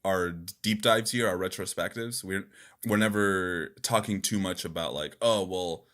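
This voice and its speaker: A man's voice: low-pitched, measured pace, a bit bright. A man co-hosting a podcast in loose, rambling, informal chat, with some swearing. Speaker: podcast co-host. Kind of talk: casual gaming podcast chat.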